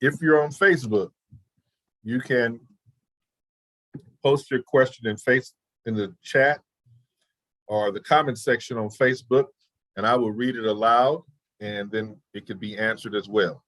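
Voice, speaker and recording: A man's voice: medium pitch, a little slow, long pauses, no room sound. Man, webinar host, folksy and laid-back, video call audio, dry close sound. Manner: relaxed, folksy, chuckling. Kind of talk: casual chat.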